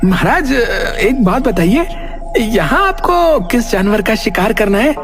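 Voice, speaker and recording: A man's voice: high voice, very animated, measured pace. A man voicing a cowardly, grumbling royal minister in a cartoon, stammering, hesitant and whiny. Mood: nervous, evasive. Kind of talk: cartoon voice acting. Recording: music behind the voice.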